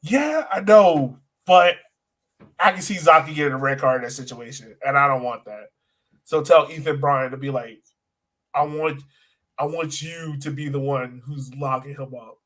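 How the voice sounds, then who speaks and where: A man's voice: fairly high pitch, normal speed, a bit bright. A man co-hosting a sports podcast, chatting casually in informal, slangy language and often turning to his co-host.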